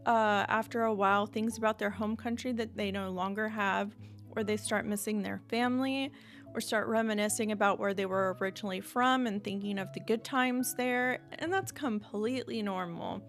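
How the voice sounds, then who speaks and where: American woman with a gravelly, fry-tinged voice. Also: medium pitch, moderate pace, a bit bright, faint music behind. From United States. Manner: reflective, earnest, laughs lightly now and then. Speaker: vlogger. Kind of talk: candid unedited monologue to camera.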